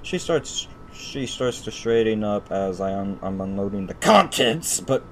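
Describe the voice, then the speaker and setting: A man hosting a gaming video, reading visual novel narration aloud haltingly and repeating phrases. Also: fairly low pitch, expressive, a little hiss or hum.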